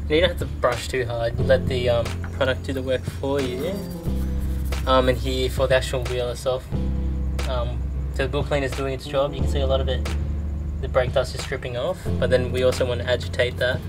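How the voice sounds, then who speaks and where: A young man casually explaining how he details his car outdoors in a driveway, relaxed and full of ums. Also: medium pitch, moderate pace, music in the background. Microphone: camera mic, later a GoPro.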